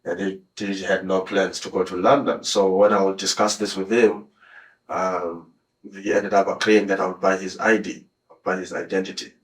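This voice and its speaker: South African suspect, 30s, deep monotone, hesitant and halting delivery. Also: male voice, poor audio. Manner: hesitant, halting, with many restarts. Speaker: suspect in a police interrogation. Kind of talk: recounting events under questioning. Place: police interview room.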